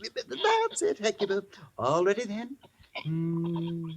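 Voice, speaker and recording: A man playing an earnest, excitable, eccentric American animal trainer with a pompous, fussy manner in a 1950s radio drama. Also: sits high, slow pace, full character voice. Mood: coaxing, delighted.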